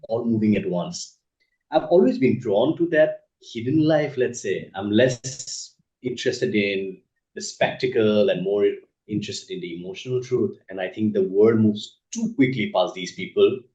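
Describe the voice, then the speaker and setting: A man from northeast India with an Indian accent, giving reflective, thoughtful interview answers as an author, measured and pausing mid-sentence. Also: lowish voice, darkish tone. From Assam, northeast India. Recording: echoey room, dull muffled sound.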